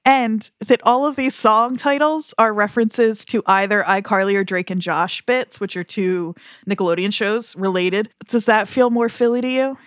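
Woman, 30s, podcast co-host; casual, teasing, mid pitch, dry studio mic. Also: fairly even intonation, normal speed, few pauses, no room sound. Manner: chatty, playful, laughs often. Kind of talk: casual chat.

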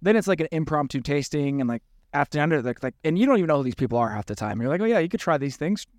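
A man's voice: a little high, expressive, very fast, no room sound, clean studio sound. A man from the United States, co-hosting a casual chat about bartending, easygoing and joking. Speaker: podcast co-host, bartender.

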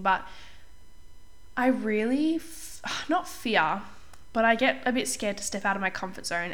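A young woman hosting a casual solo podcast as a life coach, chatty and upbeat, slightly hoarse while getting over a cold. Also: mid-range voice, fairly bright tone. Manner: chatty, upbeat, says "like" often, clears throat.

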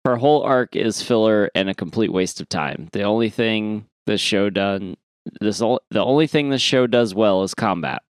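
A man co-hosting a podcast in casual comic chat, sometimes sweary and halting as he thinks aloud. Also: lowish voice, no echo, studio quality. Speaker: podcast co-host. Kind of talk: casual comic chat, reading listener emails.

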